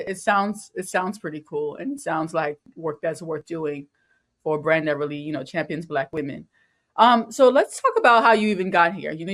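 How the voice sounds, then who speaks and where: A woman hosting a podcast, asking questions in a casual, affirming and lightly teasing way. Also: low-pitched. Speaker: podcast host. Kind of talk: casual interview.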